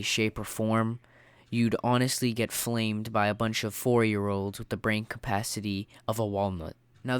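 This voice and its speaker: A man with a blocked-up nose rambles through an opinionated rant, full of fillers and restarts. His voice sits at an average pitch and comes across smooth in a dry, close recording. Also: dry recording. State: stuffy nose from a cold. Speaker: YouTuber. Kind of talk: casual ranting commentary.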